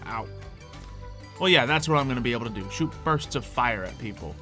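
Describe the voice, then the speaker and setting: A man's voice: mid-range voice, lively intonation, fairly quick. A man hosting a gameplay video with playful, chatty, theatrical commentary that often interrupts itself. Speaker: gaming video host. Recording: music behind the voice. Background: music.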